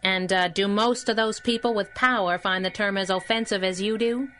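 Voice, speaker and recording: A woman playing a plucky, blunt young masked heroine in a radio drama, sardonic and wary, by turns hesitant and brisk. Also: medium pitch, fairly even intonation, bright, forceful tone, faint music behind, lightly acted. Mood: businesslike. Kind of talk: 1930s-style radio drama acting.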